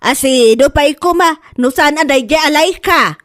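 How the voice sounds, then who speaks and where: A high, indignant voice of a scolding mother acted by a woman in an Ilocano radio comedy. She is sharp-tongued and exclamatory, with an Ilocano Filipino accent. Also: normal speed. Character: indignant, sharp-tongued mother defending herself, later a wife.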